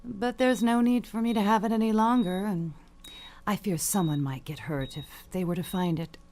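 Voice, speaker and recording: A woman's voice: mid-range voice, moderate pace, warm tone, a little hiss or hum, close to an everyday voice. An actress playing an elderly, dying perfume mogul, an imperious and cutting mother with genteel, falsely sweet manners. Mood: sweetly controlling. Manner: genteel, commanding, condescending endearments. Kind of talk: audio suspense drama acting.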